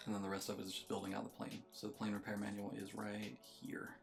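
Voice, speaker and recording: A man's voice: lowish voice, monotone, quick pace, gravelly, soft background music. A man giving a casual, matter-of-fact video game walkthrough, explaining things step by step. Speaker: game guide creator.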